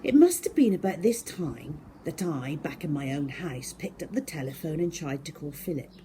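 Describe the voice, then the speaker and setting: A woman telling a story to children in an expressive, emphatic way, addressing young listeners directly. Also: low voice, normal speed, a little hiss or hum.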